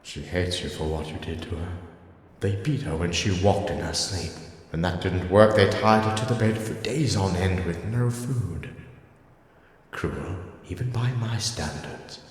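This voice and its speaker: Deep, echoing, sinister ghostly killer. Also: male voice. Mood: cruel taunting. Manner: slow, taunting, menacing. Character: sinister, mocking ghostly male murderer haunting a bedroom. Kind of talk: horror audio drama acting. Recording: long echoing reverb.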